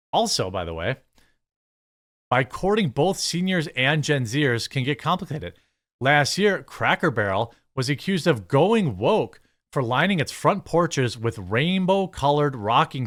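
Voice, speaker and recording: A man's voice: fairly high pitch, a bit bright. Blunt, sarcastic American male commentator. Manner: blunt, sarcastic, exasperated asides. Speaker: commentary show host. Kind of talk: opinionated news commentary, reading articles aloud and reacting.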